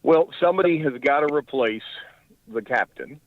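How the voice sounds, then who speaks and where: A man's voice: medium pitch, animated, moderate pace, no echo. An older man, a wry radio sports commentator calling in by phone as a guest. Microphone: phone call-in. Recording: muffled narrow phone line.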